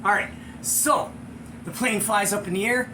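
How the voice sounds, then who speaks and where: High-voiced American man telling animated stories over noise. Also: measured pace. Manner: excitable, slangy, chuckling storyteller. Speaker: show host and storyteller. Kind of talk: animated casual anecdote, with acted-out sound effects.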